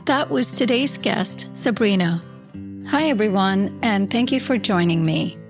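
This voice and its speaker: A woman's voice: mid-range voice, expressive, a little slow. A woman hosting an interview podcast, delivering a scripted introduction and asking questions in an encouraging way. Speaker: podcast host. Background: guitar music.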